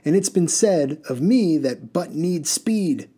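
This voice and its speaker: A man's voice: a little high, animated, slow, warm tone, breathy edge, voice pushed up, exaggerated voice. A man doing a bellowing reckless coach driver. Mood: gleeful. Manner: bellowing, singsong, rambling. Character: reckless gleeful male mail coach driver.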